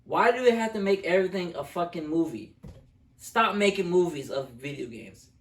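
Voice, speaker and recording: A man's voice: sits high, expressive, moderate pace, recorded from a distance. A young man co-hosting a casual review chat, talking in a blunt, slangy way. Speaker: film review co-host. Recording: echoey room, off the mic.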